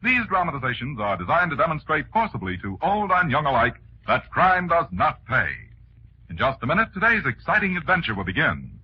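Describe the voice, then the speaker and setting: A man's voice: on the high side, sing-song, brisk, slight background noise. A man with an American accent announcing and reading advertising in emphatic 1940s radio style. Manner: emphatic sales delivery. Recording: muffled 1940s radio recording.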